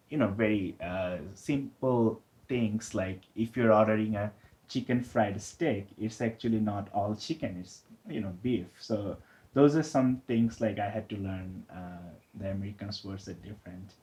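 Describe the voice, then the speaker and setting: A young man from Bangladesh, a guest in a casual interview, speaking in a relaxed, hesitant way with many fillers. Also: lowish voice, moderate pace.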